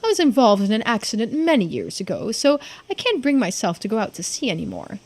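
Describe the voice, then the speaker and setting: A woman voicing a polite, grave man in a mystery game, speaking formally and with measured courtesy. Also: fairly high pitch, big pitch swings.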